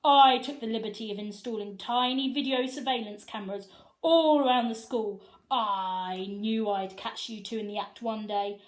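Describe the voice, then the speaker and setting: Storybook principal, woman performer: gloating, stern authority, deliberate delivery with smug menace. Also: a little high, roomy sound, lightly acted. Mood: gloating, accusing. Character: stern, gloating male school principal savouring his triumph.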